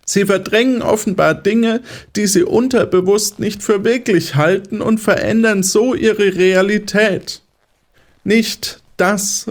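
A high-pitched, very animated male psychiatrist acted by a man in an audio drama. He lectures his patient with condescending, controlling assurance, swinging widely in melody as he explains. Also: exaggerated voice. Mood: lecturing. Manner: condescending, didactic. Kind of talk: German audio drama acting.

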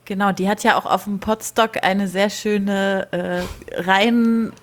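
A woman's voice: medium pitch, steady tone, takes it slowly. A woman taking part in a casual podcast conversation. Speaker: podcast participant.

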